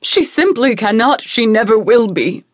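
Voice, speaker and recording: A woman's voice: on the high side, lively intonation, unhurried, dry recording, clean studio sound. A woman doing a distraught queen mother. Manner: raised, anguished and pleading. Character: distraught middle-aged queen, grieving mother.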